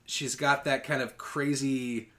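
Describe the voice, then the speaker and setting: A man with a mid-pitched voice co-hosts a casual podcast. He talks informally and with enthusiasm, pitching ideas and tossing in fan asides. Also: steady tone, slow pace, a bit bright. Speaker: podcast co-host.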